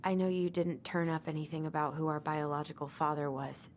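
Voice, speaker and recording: Actress doing young game heroine, hurt but determined, natural delivery, slightly low voice, faint noise behind. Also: female voice, fairly even intonation, a little fast, close to an everyday voice. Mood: earnest, resolved. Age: young adult. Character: determined young woman, wounded and searching for answers. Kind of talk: naturalistic video game acting.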